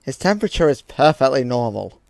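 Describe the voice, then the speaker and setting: Woman putting on a low gravelly robot surgeon. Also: very animated, a little fast, a little mellow, slight background noise, full character voice. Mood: calm, reassuring. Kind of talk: amateur comic dub acting.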